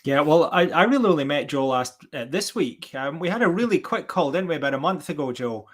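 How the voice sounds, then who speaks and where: A man's voice: a little high, lively intonation, brisk. A man co-hosting a podcast, chatting in a friendly, informal way. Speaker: podcast co-host. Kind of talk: casual chat.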